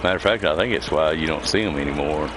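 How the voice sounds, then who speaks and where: A man's voice: extremely low pitch, fast talker. A man chatting casually over gameplay in a folksy, rambling way. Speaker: game streamer, host. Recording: loud vehicle engine noise behind voice. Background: idling truck engine, game vehicle sounds.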